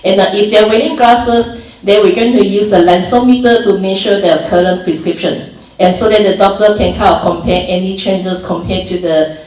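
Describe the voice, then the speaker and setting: A woman with a Malaysian accent walks volunteers through a training session in an informal, step-by-step way, often restarting her sentences and filling pauses. She sounds set back from the microphone, with the echo of a roomy hall around her. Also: mid-range voice, fairly even intonation, darkish tone. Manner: explains steps plainly, many restarts and fillers. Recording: echoey room from far off.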